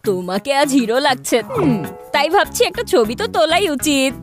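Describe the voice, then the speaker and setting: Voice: sits high, sing-song, brisk, over music. A woman playing a bossy, scheming, teasing child prankster in a dubbed cartoon.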